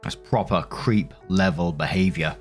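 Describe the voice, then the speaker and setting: A man's voice: sits low, unhurried, soft background music. A man hosting and narrating online stories, mixing scripted reading with casual spoken commentary.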